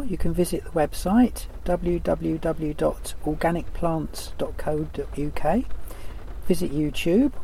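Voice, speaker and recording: A man's voice: a little high, creaky, mellow, poor audio. A man reading a scripted sponsor message, recorded with some background noise. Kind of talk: scripted advertising read.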